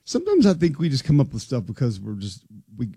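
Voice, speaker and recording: A man co-hosting a relaxed sports talk show, wry and joking as he reads out facts and figures. His voice sits at an ordinary pitch, recorded close and dry with no room sound. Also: fast, husky, no room sound.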